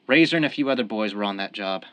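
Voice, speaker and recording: Actor as desperate cornered mob gunman, sharp-edged voice. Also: on the low side, expressive, quick pace, smooth, no room sound. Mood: earnest, regretful. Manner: earnest, defensive, pleading. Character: desperate, cornered young family man turned mob killer. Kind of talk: old-time radio crime drama acting.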